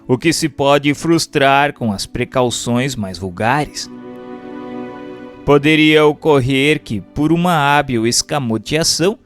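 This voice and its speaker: A Brazilian man reads a formal text as a scripted narration, in a measured and carefully phrased manner. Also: mid-range voice. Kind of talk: scripted audiobook-style reading of a doctrinal text.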